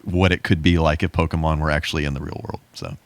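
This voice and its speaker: A man with a deep, resonant voice co-hosts a casual podcast. He speaks in a laid-back, halting, informal way, and the sound is close and dry. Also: flat delivery, no echo. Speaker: podcast co-host. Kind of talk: casual, informal movie chat.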